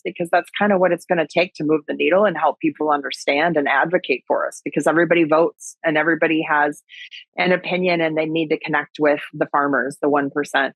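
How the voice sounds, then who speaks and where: Lower-voiced woman with a Wisconsin accent. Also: no echo, poor audio. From Wisconsin, USA. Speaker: podcast guest, agriculture advocate and speaker. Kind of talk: casual conversational interview.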